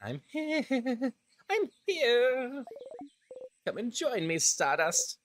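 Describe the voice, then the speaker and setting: A streamer voicing a playful, teasing star-like creature from a video game, theatrical and cheery, with sing-song, drawn-out words. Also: medium pitch, sing-song, a little hiss or hum, pitched above the performer's own voice.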